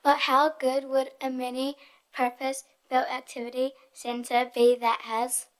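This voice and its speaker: A woman's voice: high-pitched, little pitch movement, unhurried. A young woman reading her part of a prepared presentation as a youth speaker. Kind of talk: prepared group presentation, read aloud.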